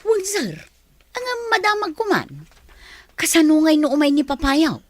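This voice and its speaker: A woman's voice: high-pitched, measured pace, no room sound. A woman playing a curious, deferential older household helper in an audio drama. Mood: flustered. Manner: curious, animated.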